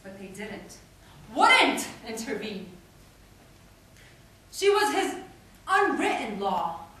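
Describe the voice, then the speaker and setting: A young woman reciting a dramatic stage monologue in an intense, theatrical manner with deliberate pauses. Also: a little high, very animated, bright, forceful tone. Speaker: stage performer. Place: indoor stage performance in a theatre or hall. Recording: echoey hall, distant mic, faint noise.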